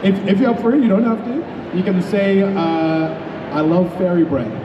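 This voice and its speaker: A man's voice: high voice, moderate pace. A man moderating a convention panel, friendly and laughing, calling on the audience. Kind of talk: casual hosting. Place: large convention hall. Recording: echoey hall, distant, dull with crowd noise.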